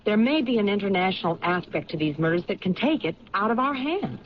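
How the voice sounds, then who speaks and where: An actress playing a thoughtful, intuitive police lieutenant who questions in a measured way in a 1970s radio drama. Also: female voice, mid-range voice, expressive, lightly acted. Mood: pondering. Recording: dull lo-fi radio broadcast with faint hiss.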